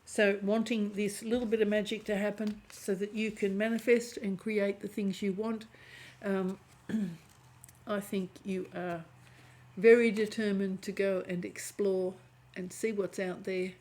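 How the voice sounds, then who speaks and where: A woman giving a chatty tarot reading to camera, hoarse and often clearing her throat as her voice fades. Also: medium pitch, level tone, unhurried, darkish tone. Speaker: tarot reader.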